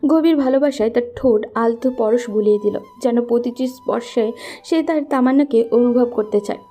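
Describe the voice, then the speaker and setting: A woman's voice: a little high, moderate pace, mellow, soft background music. A woman narrating a scripted romantic story.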